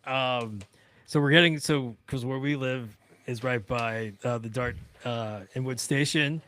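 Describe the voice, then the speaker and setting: A man chatting informally in a studio as a co-host, telling stories in an animated way and quoting what people said. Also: mid-range voice, measured pace, no room sound. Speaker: radio show co-host and producer. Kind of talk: casual studio chat and anecdote telling. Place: dry-sounding studio.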